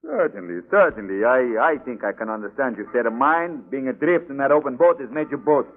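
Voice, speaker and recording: An actor playing a commanding, dismissive ship's captain, brisk and condescending, in a 1940s radio drama. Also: male voice, mid-range voice, expressive, lightly acted. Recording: tinny 1940s radio recording, music traces.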